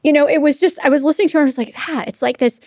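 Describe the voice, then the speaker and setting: A mother in her forties co-hosting a podcast, with a somewhat high voice. She tells earnest personal stories and passes on research in a conversational way. The sound is dry and close-miked. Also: very fast, darkish tone, no room sound. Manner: earnest storyteller, shares personal anecdotes and research. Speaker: podcast co-host. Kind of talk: casual chat.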